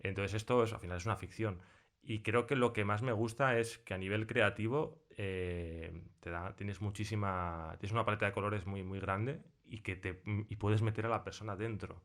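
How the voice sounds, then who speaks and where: Hombre español de voz grave. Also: male voice, lowish voice, fairly even intonation, moderate pace, a bit bright. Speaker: interview guest, sound designer. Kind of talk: casual interview conversation.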